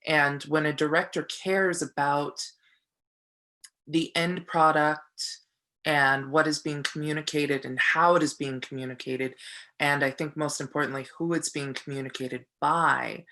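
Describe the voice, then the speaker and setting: An actor gives a reflective interview in a bright, forceful voice. The delivery is earnest and thoughtful, with ideas built up phrase by phrase. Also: medium pitch, a little slow. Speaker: stage actor.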